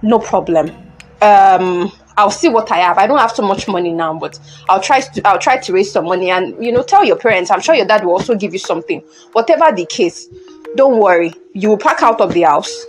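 A Nigerian woman playing a calm, devout older confidante in an audio drama, counselling in a soothing but firm way. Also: mid-range voice, fairly quick, soft background music, close to an everyday voice, rough recording. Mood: soothing, encouraging. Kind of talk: conversational audio drama acting.

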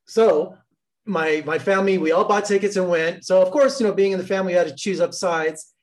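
A man's voice: high voice, fairly quick. A man from Las Vegas interviewing an actor, telling long rambling stories in a friendly tone. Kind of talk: casual interview.

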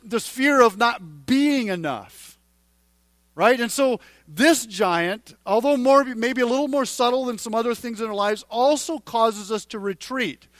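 A man in his forties giving a conversational sermon as a pastor, halting and restarting phrases as he speaks straight to his congregation. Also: very high-pitched, fairly bright tone, no room sound. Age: 40s.

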